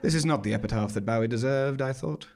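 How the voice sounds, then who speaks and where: Male monologue performer with gravelly creak, dry wit and dramatic stage storytelling. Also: mid-range voice, quick pace, a little mellow. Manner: arch, droll, dramatic delivery. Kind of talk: theatrical scripted first-person storytelling. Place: small theatre stage, live performance.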